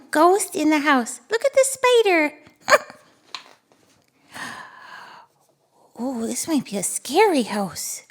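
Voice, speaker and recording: A woman voicing a friendly, excitable little monster who reads picture books to toddlers with gleeful wonder. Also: high-pitched, exaggerated voice. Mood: excited. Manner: gleeful, giggly, breathless wonder, drawn-out words. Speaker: children's read-aloud host. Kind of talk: animated picture-book read-aloud for toddlers.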